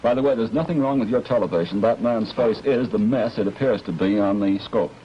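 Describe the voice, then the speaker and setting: A man's voice: fairly low pitch, a little fast. A man giving informal news commentary with conversational asides, as if talking viewers through film footage. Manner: conversational asides while reporting. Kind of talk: informal live newsreel commentary. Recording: dull vintage broadcast with faint noise.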